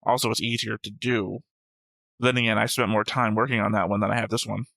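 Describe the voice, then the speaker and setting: A man's voice: lowish voice, little pitch movement, fairly quick, gravelly, fairly bright tone, no echo. A man co-hosting a reaction show, talking casually and analytically through an anime episode in an explanatory way.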